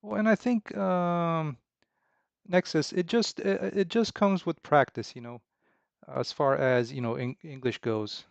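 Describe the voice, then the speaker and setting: A man in his thirties from Romania, chatting casually with livestream viewers in a halting, relaxed way full of fillers and restarts. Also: on the high side, creaky, dry recording. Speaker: indie game developer streaming. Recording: dull, slightly lo-fi dry sound.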